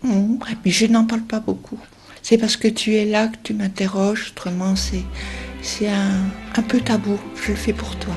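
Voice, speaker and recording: Husky, reflective Belgian woman. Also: mid-range voice, flat delivery, moderate pace, darkish tone, faint music behind. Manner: reflective, hesitant, heartfelt. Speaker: interviewee. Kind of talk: documentary interview.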